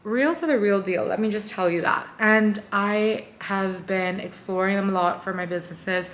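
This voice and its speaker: A woman's voice: mid-range voice, moderate pace, fairly bright tone, a little hiss or hum. A woman in her twenties from Hong Kong, talking to camera in a chatty, bubbly way as she gives tips. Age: 20s. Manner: bubbly, chatty, lots of "like" and "guys". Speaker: vlogger and entrepreneur. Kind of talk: casual talk to camera.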